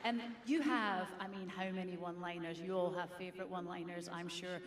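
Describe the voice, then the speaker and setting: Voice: medium pitch, level tone, brisk. An interviewer asking casual questions, opening with hesitant fillers. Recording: distant roomy mic with faint crowd.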